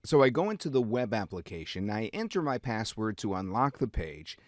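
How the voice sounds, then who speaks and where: A man narrating a scripted software demo step by step. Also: on the low side, big pitch swings, fairly quick, dry recording, studio quality. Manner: step-by-step, instructive.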